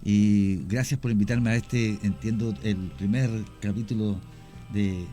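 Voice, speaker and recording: A man, a radio guest explaining his cemetery work at length, often pausing with fillers. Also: on the low side, fairly even intonation, mellow, faint music behind. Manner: explanatory, hesitant with many fillers. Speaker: guest, cemetery tour creator. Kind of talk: radio interview.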